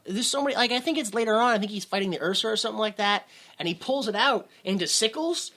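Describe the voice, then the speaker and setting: A man's voice: sits high, lively intonation, quick pace, bright, forceful tone. A man casually recapping a movie as a podcast co-host, sarcastic and laughing often.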